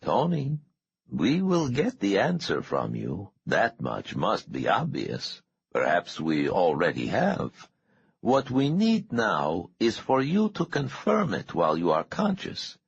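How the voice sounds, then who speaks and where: A man voicing a calm, menacing male interrogator in an audiobook, soft-spoken, unhurried and falsely courteous. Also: on the low side, gravelly, slightly rough, no echo, highs cut off, pitched above the performer's own voice, exaggerated voice. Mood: calm menace. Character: calm, menacing balaclava-masked male interrogator with irritating accent. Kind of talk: audiobook character dialogue.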